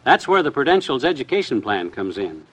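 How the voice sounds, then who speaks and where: A man's voice: mid-range voice, big pitch swings, fairly quick, fairly bright tone, breathy edge. A man delivering a scripted, conversational sponsor message in a classic radio style. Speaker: sponsor pitchman.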